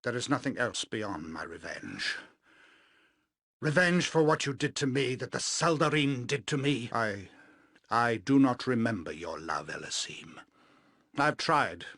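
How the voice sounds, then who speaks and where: A cold, grandiose elven sorcerer speaks in a mid-pitched voice, recorded very dry and close. He is a video game villain, soulless and bent on revenge. His diction is formal and deliberate, each phrase weighted with theatrical menace. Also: lively intonation, creaky, bright, forceful tone, no echo, full character voice. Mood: hollow, bitter.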